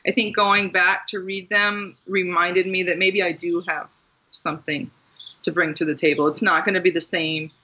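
A woman's voice: fairly low pitch, little pitch movement, normal speed, bright, forceful tone, dull audio. An American woman talking casually and reflectively as the guest in an interview about her own experience. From Maryland, US. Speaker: guest author and writing teacher.

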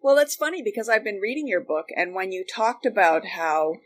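A woman's voice: medium pitch, expressive, brisk. Canadian woman reminiscing, noisy recording. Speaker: guest, former radio worker. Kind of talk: casual reminiscing conversation. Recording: noticeable background noise.

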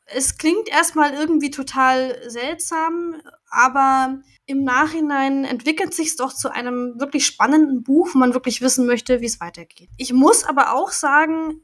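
A chatty woman with a fairly high voice talks loosely and at length, laughing often and filling pauses as she sums up a book she liked. She sounds relaxed and casual, like a friend talking on a podcast. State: has a cold. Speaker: podcast co-host. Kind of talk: casual chat about books and audio dramas.

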